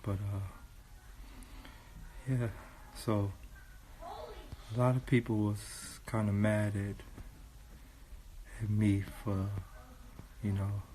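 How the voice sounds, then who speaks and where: A man from Watts. He is hoarse and losing his voice, talking slowly to his viewers in a casual monologue and repeating lines for emphasis. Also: fairly low pitch, flat delivery, rough recording. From Watts, California. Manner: slow and deliberate, repeats lines for emphasis, grows louder. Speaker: host. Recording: faint background noise.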